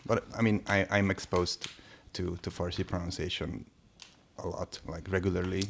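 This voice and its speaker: Hungarian-native man, low creaky voice, halting podcast chatter. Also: little pitch movement, fairly bright tone, slight background noise. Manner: hesitant, many restarts and fillers. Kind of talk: casual conversation.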